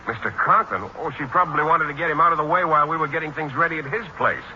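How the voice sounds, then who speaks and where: Fast-talking earnest man, old radio. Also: on the high side, bright, forceful tone. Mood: agreeable. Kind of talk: 1940s radio sitcom acting. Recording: muffled, very noisy 1940s radio recording.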